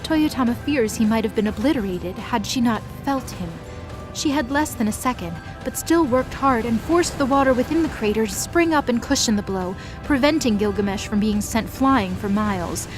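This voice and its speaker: A woman's voice: a little high, normal speed, over music. A woman giving dramatic scripted narration for a mythology audio story.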